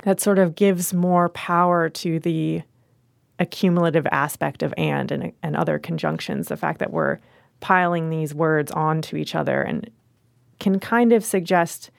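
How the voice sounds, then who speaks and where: A woman talking as a literary editor and host, discussing writing in a measured, analytical way. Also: low voice, animated, vocal fry, no echo, clean studio sound. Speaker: magazine editor and podcast host.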